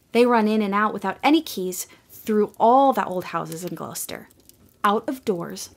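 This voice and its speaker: A woman narrating a storybook audio drama in a gentle, measured way. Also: mid-range voice.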